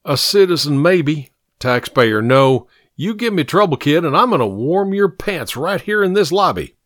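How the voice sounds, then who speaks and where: A gruff lawman voiced by a male narrator from an audiobook story, curt and sarcastic. He growls his threats with swinging, lively emphasis in a dry, close sound. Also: medium pitch, measured pace, no room sound. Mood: threatening.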